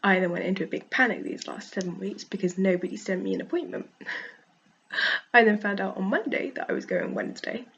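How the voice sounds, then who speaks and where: A woman with a cold and a croaky voice, frankly venting in a casual vlog and laughing often. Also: medium pitch, big pitch swings, brisk, a bit bright, muffled recording. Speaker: vlogger.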